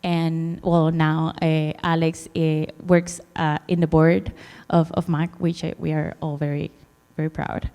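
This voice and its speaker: Soft-spoken woman presenting in a hall. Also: low-pitched, little pitch movement, measured pace, smooth. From Dominican Republic. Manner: hesitant, many fillers and restarts. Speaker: conference presenter. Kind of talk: conference presentation. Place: conference hall.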